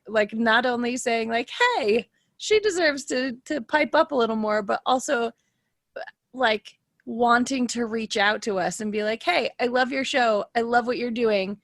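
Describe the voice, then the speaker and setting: A woman's voice: a little high, a bit bright, dry recording, studio quality. A woman in her thirties talking casually on a podcast, giggly and self-deprecating, as one of the show's players. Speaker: podcast cast member and player.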